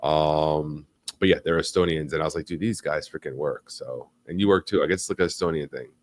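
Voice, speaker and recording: A young American man hosts a live online chat, rambling and animated, with frequent "like" and "you know" fillers. His voice is very low. The sound is dry and close, with no room echo. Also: a little fast. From United States. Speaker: livestream host. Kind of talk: casual live interview.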